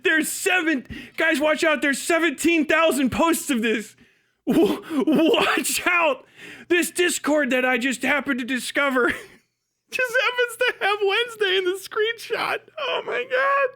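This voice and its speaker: A man's voice: extremely high pitch, measured pace, gravelly, sharp tone. A man hosting a livestream, reacting to a video with casual, profane, sarcastic and giggly commentary. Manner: mocking, sarcastic. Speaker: livestream host.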